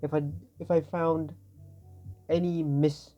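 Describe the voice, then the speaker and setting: A young man with an Indonesian accent gives a loose tutorial, his voice raspy from not sleeping, and he rambles and keeps correcting himself. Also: on the high side, little pitch movement, measured pace, dull audio, faint music behind. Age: young adult. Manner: tired, rambling, self-correcting. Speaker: student. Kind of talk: informal tutorial explanation.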